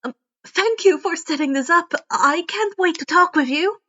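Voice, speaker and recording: A woman's voice: very high-pitched, lively intonation, normal speed, bright, forceful tone, lo-fi sound, pitched above the performer's own voice, full character voice. A woman voicing an eager teenage boy vigilante in a story reading, youthful and a bit hesitant. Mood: nervous, eager.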